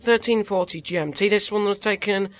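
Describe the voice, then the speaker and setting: A brusque, skeptical weather official, played by a man. His voice sits a touch high. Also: brisk, natural voice.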